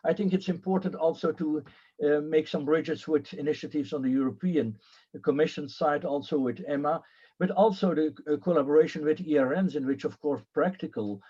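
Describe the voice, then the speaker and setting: A man's voice: a little high, brisk, dark tone, husky. Hesitant man on a muffled call. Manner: hesitant, many fillers and restarts. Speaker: panelist. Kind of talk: conference panel remarks.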